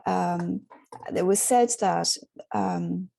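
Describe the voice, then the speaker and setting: A hoarse woman with a sore throat, gently leading an online spiritual group in a rambling, casual way with many pauses. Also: lowish voice. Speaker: spiritual workshop host.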